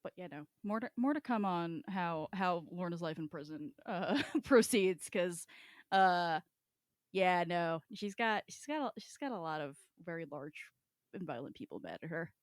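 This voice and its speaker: A woman's voice: on the low side, expressive, moderate pace, no echo, studio quality. A woman co-hosting a podcast, chatting informally about books in a wry, sweary way. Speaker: podcast co-host. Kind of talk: casual book discussion chat.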